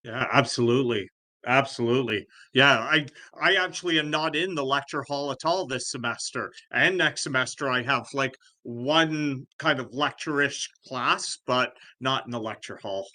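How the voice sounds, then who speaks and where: Bright-voiced man presenting a webinar. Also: medium pitch, normal speed. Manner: easygoing, self-deprecating. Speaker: business program coordinator, professor.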